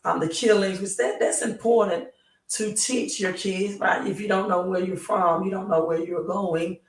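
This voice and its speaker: A hoarse woman hosting a live stream, talking slowly and pausing often to ask "right?". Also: medium pitch, steady tone. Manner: slow, halting, repeats "right?". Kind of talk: casual live stream talk. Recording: roomy, distant mic.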